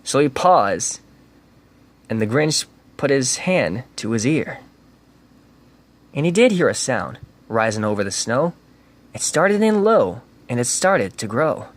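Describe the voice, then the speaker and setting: A man's voice: mid-range voice, slow pace, pauses often. Man giving a rhythmic storybook reading. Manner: rhythmic rhyming delivery with lively emphasis. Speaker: reader.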